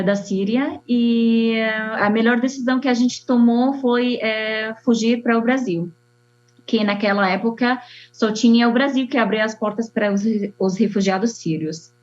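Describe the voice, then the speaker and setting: A young woman from Syria with an Arabic accent, an architect telling her story slowly and haltingly, with many fillers. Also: fairly high pitch. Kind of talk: personal testimony. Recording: dull lo-fi sound.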